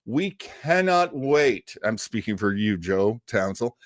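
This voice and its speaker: An animated man hosts a casual livestream in a chatty, rambling fan style. His pitch moves up and down a lot, and the sound is close and dry. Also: takes it slowly, no echo. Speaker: host of an old-time radio show. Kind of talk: casual livestream chat with audience.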